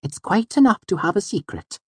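A tiny waif of a girl in an audiobook, voiced by a man, pitched high and sounding close and dry. She is whimsical and gentle, speaking with grave, wondering precision and softly chiding earnestness. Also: sing-song, fairly quick, slightly rough, no echo, heavily acted. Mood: earnest, gentle. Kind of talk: audiobook character voice.

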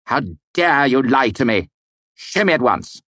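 A commanding mayor with a stern, barking delivery, as a male narrator puts him on. His lines sit well above the narrator's low natural voice and push out louder. The recording is close and dry. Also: expressive, a little slow, dry recording.

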